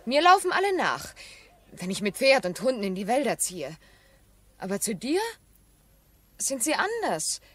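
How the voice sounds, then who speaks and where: An actress playing a vain, lively, sharp-tongued young aristocratic woman with a teasing, haughty manner in a 1970s radio drama. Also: fairly high pitch, a little slow, pauses often, slight background noise.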